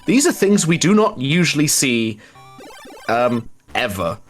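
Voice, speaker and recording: A man giving live gameplay commentary, explaining things step by step with halting pauses. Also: mid-range voice, very animated. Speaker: speedrun commentator. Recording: music behind the voice.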